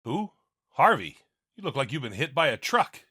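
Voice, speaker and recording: An actor playing a tired, caring middle-aged police captain in an animated comic, earnest in manner. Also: male voice, medium pitch, normal speed, breathy edge, dry recording, studio quality, exaggerated voice. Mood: concerned.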